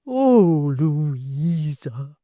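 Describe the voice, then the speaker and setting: A timid, tearful spinster, as a woman voices her, speaking slowly in a soft, faltering, pleading way. The voice is mellow and dry, with a fairly lively lilt. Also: lowish voice, no echo, muffled recording, voice pushed up. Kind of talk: audiobook character voice.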